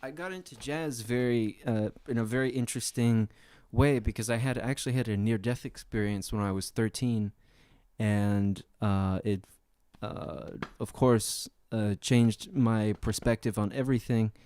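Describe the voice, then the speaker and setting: A young man from Sweden, a jazz pianist, answering interview questions in relaxed, chatty storytelling full of fillers. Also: on the low side, a little slow, few pauses, smooth, dry recording, clean studio sound.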